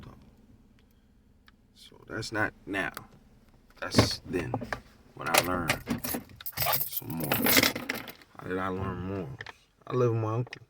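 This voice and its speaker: A middle-aged American man tells stories from his own life in a fairly low, gravelly voice. He talks casually and candidly, swearing freely and tossing out rhetorical questions. The recording is noisy, with clear ambience around him. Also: distant mic. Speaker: storyteller. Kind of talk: casual, profane personal storytelling to camera.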